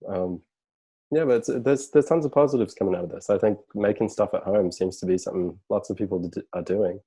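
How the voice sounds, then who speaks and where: A man chatting casually as a podcast guest over a video call, relaxed and rambling with fillers. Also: lowish voice, fast, husky. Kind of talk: casual chat.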